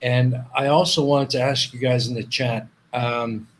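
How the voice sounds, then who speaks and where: A man's voice: mid-range voice, fairly even intonation, unhurried, a little hiss or hum. A man who grew up in Canada with Dutch as his first language, hosting a casual live stream, rambling and repeating himself as he reads viewers' chat.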